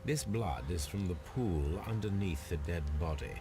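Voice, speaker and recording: A low, deep male voice plays a calm gentleman detective in a video game. He reasons aloud with measured precision, musing over each observation. Loud music plays behind him. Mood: analytical. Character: calm, analytical gentleman detective examining a murder scene. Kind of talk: video game character voice acting, deliberate deductive monologue.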